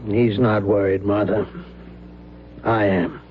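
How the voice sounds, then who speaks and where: A man's voice: on the low side, flat delivery, slow. An actor playing a determined, principled mechanic and family man in a radio drama, plain-spoken and firm. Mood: resolute, worried. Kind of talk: vintage radio drama acting. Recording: dull old radio recording with hum.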